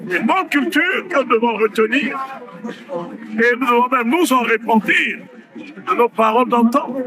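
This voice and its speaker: A man's voice: very high-pitched, rough recording. A man preaching as a pastor, emphatic and repeating his phrases. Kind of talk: preaching address.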